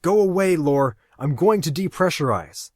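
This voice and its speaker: A man voicing a desperate young man who shouts and pleads in a raised, strained voice. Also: on the high side, expressive, moderate pace, no echo, studio quality, voice pushed up. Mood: frantic.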